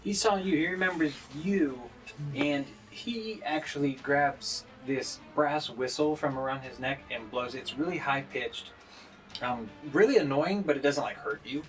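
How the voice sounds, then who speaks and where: Low-voiced man running a tabletop game. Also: unhurried, poor audio. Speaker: game master. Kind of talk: narrating a live tabletop roleplaying game. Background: background music.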